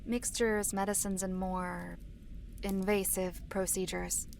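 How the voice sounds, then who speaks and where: A woman playing a solemn storyteller, narrating dark fantasy lore in a formal, measured way. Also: mid-range voice, little pitch movement, creaky, a little hiss or hum. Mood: grave.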